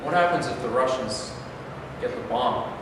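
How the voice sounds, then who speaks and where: A man's voice: medium pitch, moderate pace, lightly acted. A man in a staged reading with a formal, deferential delivery, as a presidential aide and narrator. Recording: very echoey noisy hall recording.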